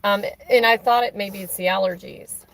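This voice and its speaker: A woman with a hoarse, raspy voice chatting casually on a live stream, rambling with many fillers and restarts. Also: mid-range voice, fairly even intonation, normal speed, a bit bright, poor audio. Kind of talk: casual chat on a phone call during a stream.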